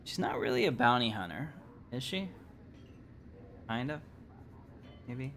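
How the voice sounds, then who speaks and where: A man's voice: mid-range voice, sing-song, measured pace, a bit bright, slight background noise. A man giving casual live gameplay commentary, musing aloud and hesitating as he thinks through choices. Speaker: game livestreamer, host.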